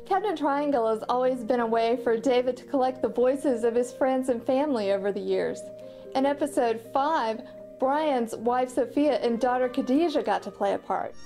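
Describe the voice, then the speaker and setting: Female interviewee with a fairly high, soft mellow tone, recalling creative work over faint music. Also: moderate pace. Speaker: studio collaborator and voice actor. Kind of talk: documentary interview reminiscence.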